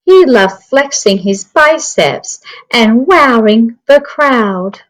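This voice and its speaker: A woman reading a picture book aloud to young children, with expressive, deliberate, dramatic phrasing. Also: fairly high pitch, poor audio.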